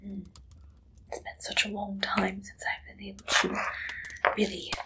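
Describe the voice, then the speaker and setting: A young woman playing an eager, shifty Victorian pie-shop keeper in an ASMR roleplay, breathy and whispery, halting and coyly secretive. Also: medium pitch, monotone, natural voice. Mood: coy, dreamy. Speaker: ASMR performer. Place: small dim indoor room.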